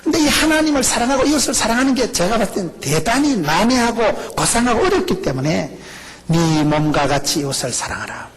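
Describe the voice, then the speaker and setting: A middle-aged male pastor with a Gyeongsang accent, preaching a lively, joking sermon full of rhetorical questions. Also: high voice, brisk. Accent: Gyeongsang Korean. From rural South Korea. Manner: animated, jokey preaching with rhetorical questions and laughs.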